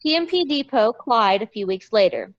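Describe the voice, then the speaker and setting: Female stage-direction reader, moderately high voice, scripted narration, slightly muffled video-call sound. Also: lively intonation, moderate pace.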